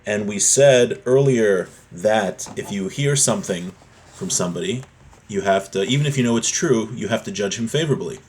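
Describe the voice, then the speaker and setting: A man's voice: lowish voice, lively intonation, moderate pace, a little mellow. Man teaching an informal religious class. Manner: explanatory, restating points with everyday examples. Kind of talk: informal religious text lesson, reading and explaining.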